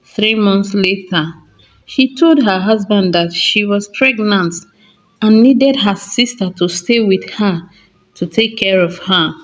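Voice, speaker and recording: Slow-paced woman storyteller. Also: mid-range voice, rough recording. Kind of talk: scripted folktale narration.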